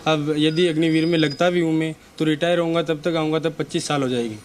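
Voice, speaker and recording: A man's voice: on the high side, fairly even intonation, quick pace, slight background noise. A man of twenty-one preparing for the army, speaking earnestly about his worries. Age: 20s. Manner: earnest, questioning. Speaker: army aspirant.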